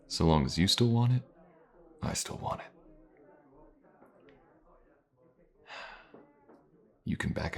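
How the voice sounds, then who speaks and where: Man acting a very deep, gravelly mercenary. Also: darkish tone, full character voice. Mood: steady, loyal. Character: gruff, wry, loyal adult male fantasy mercenary. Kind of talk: intimate fantasy audio roleplay acting.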